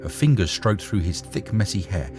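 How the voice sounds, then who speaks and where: A man reading a short story aloud as an expressive narrator. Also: fairly low pitch, normal speed, music in the background.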